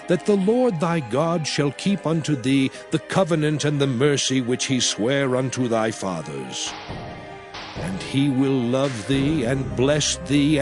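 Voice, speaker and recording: Solemn old testament prophet, slow grave preaching, big swells up and down, music behind. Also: male voice, medium pitch. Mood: solemn, promising. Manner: grave, declamatory, deliberate phrasing. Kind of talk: dramatized scripture reading, sermon-like oratory.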